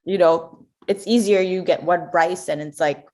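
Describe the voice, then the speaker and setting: A young woman from Macau co-hosting a podcast, chatty and animated, full of fillers like "like" and "you know". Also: lowish voice, moderate pace. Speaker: podcast co-host. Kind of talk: casual chat.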